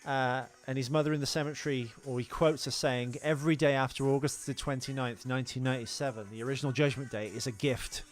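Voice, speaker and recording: A man's voice: medium pitch, fairly even intonation, quick pace, few pauses. British man in casual, sweary podcast banter. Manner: informal, sweary, explanatory. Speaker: podcast co-host.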